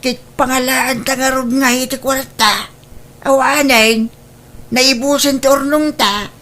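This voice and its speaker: A woman plays a weary old Ilocano husband in a comedy drama. The voice is bright and forceful, grumbling and exclaiming about every hardship. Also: high voice, steady tone, a little slow. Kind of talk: Ilocano comedy radio-style drama acting.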